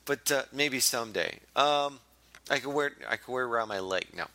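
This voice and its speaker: A young adult man hosting a podcast, hoarse and raspy, talking in a casual, rambling, self-mocking way. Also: mid-range voice, fairly quick, sharp tone, dry recording. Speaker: podcast host.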